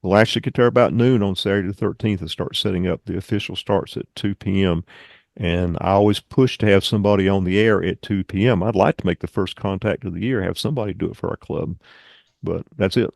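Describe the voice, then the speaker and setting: A man's voice: low-pitched, a little fast, gravelly, darkish tone, no room sound, clean studio sound. A man giving an informal club presentation over a video call, with rambling, folksy asides.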